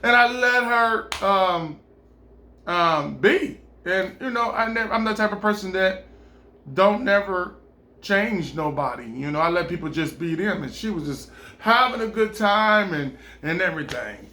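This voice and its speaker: High-voiced man rambling through a story. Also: unhurried, pushed and bright. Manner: rambling, many ums and you-knows. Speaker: vlog host telling a personal story. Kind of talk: casual storytelling.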